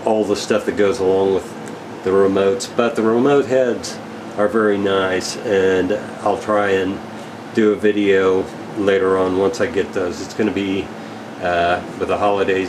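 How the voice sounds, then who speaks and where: A man giving a casual, unscripted product update as an equipment dealer, in a rambling, halting, matter-of-fact way. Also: low-pitched, background noise.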